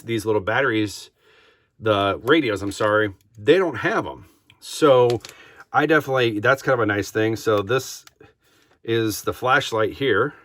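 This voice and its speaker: A man giving a casual unboxing review as a product reviewer, speaking informally and a bit haltingly. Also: mid-range voice, fairly bright tone. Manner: informal, hesitant, halting delivery.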